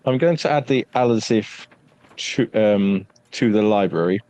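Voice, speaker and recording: A man narrating a tabletop horror game as game master, deliberate and pausing for suspense. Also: lowish voice, mellow, no echo.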